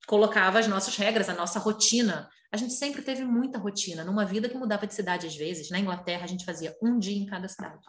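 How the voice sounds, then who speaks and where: A woman's voice: mid-range voice, fast, few pauses, roomy sound. A middle-aged Brazilian woman telling personal stories openly and expressively in a casual conversation. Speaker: interview guest.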